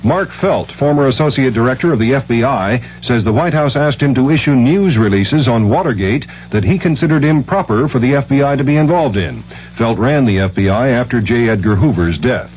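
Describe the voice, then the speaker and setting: American male network news anchor, mid pitched, reading copy on dull old radio with faint hum. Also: lively intonation, fairly quick. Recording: muffled, humming 1970s radio broadcast.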